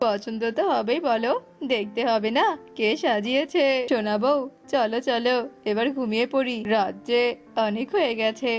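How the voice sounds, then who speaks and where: Woman playing an excitable high-voiced young bridegroom. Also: normal speed, soft background music, close to an everyday voice. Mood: delighted, doting. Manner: exclamatory, pleading, repetitive. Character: excitable young bridegroom, fussy and easily bewildered. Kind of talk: Bengali cartoon voice acting.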